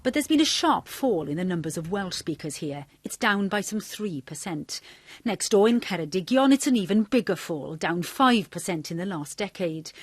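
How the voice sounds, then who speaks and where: A woman reporting a broadcast news story in a measured, clear voice. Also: fairly low pitch, expressive, no room sound.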